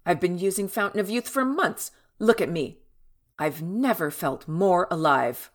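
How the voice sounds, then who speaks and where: A woman's voice: lowish voice, lively intonation, unhurried. A woman playing a slick, ruthless female CEO villain in an audio drama, with a cool, imperious, dismissive manner. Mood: commanding, insistent. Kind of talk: fiction podcast audio drama acting.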